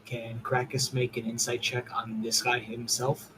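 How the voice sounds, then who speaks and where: A man's voice: medium pitch, completely flat delivery, measured pace, pushed and bright, faint music behind, rough recording. A man playing in a tabletop game, speaking eagerly and plainly about his rolls. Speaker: player. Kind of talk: casual tabletop game chat.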